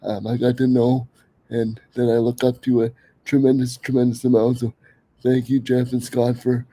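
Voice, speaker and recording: A man hosts a casual podcast while slightly sick, his voice a little worn. His tone is very dark and mellow and his pitch stays quite level. He talks earnestly and eagerly, stumbling through restarts. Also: level tone, moderate pace, no room sound. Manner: earnest, enthusiastic, many fillers and restarts. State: a little sick, voice under the weather. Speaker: podcast host.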